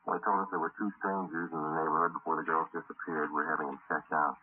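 An actor playing a stoic police detective in a 1950s radio drama, narrating and talking in a clipped, deadpan way. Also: male voice, extremely low pitch, fast talker, slightly rough. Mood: businesslike. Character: stoic, matter-of-fact male police detective who narrates the case. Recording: muffled old radio recording, loud music behind.

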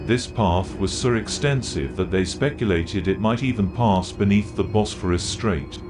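Low-voiced male narrator over music. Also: moderate pace. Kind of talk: scripted documentary-style horror narration.

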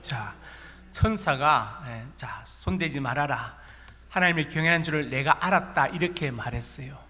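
A man's voice: on the high side, lively intonation, moderate pace, pushed and bright. A male pastor with a Korean regional accent preaching a sermon in a folksy, storytelling way, asking rhetorical questions. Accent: Korean regional dialect. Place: church sanctuary. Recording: long church reverb.

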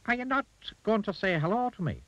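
A mischievous little storybook goblin, pitched way up high and swinging freely in tone. He teases and taunts with playful cheek. The recording is slightly dull, with music. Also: sing-song, brisk, fairly bright tone, dry recording. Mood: cheeky, curious. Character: cheeky, mischievous male goblin, grinning and teasing. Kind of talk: children's storybook character voice.

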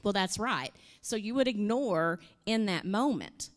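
A woman, presenting at a conference workshop in a hotel room and answering questions in an animated, conversational way through a handheld microphone. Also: medium pitch, a little slow. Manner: animated, conversational, uses "you know" and "I mean". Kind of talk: workshop talk with audience questions.

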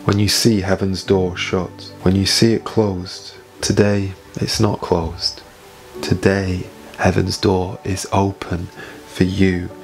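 A British man in his thirties preaching an evangelistic sermon in an earnest, pleading manner, with emphatic repetition and dramatic pauses. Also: low-pitched, flat delivery, vocal fry. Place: small home room, indoors. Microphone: boom-arm microphone off to the side. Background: faint background music.

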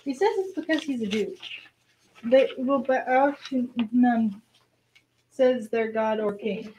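A woman's voice: on the high side, slow pace, dark tone, slight background noise, poor audio. A woman playing a deferential, mild-mannered courtier in an amateur radio play. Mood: reasoning.

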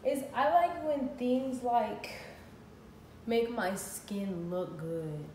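A woman's voice: fairly high pitch, extremely slow, darkish tone, distant mic. A young woman chatting playfully through a clothing haul in her living room, with casual slang. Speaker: vlogger. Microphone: built-in camera mic from across the room. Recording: echoey room, voice off-mic, ambient noise.

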